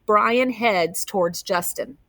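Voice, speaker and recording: A woman with a mid-range voice reads to children as their teacher would. Her delivery is expressive storybook narration with direct asides to young listeners. The sound is dry, with very little room in it. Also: mid-range voice, slow, no room sound. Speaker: teacher reading a picture book aloud.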